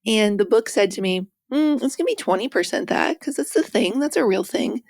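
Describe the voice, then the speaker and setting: Soft-spoken woman, gentle storyteller. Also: on the high side, fairly quick, dry recording, studio quality. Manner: animated storytelling with quoted asides. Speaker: author and podcast guest. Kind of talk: conversational interview.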